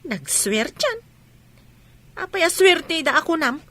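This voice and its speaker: An actress playing a nosy, envious gossiping neighbour in a family drama. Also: female voice, very high voice, big pitch swings, takes it slowly, fairly bright tone, dry recording, exaggerated voice. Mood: nosy, scornful.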